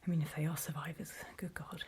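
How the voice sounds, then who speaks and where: A woman playing a grave, weary apocalypse survivor in a horror ASMR roleplay, speaking in a soft, breathy, hesitant whisper. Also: deep voice, flat delivery, quick pace, exaggerated voice. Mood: weary, ominous. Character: grave, weary female apocalypse survivor briefing others on zombies.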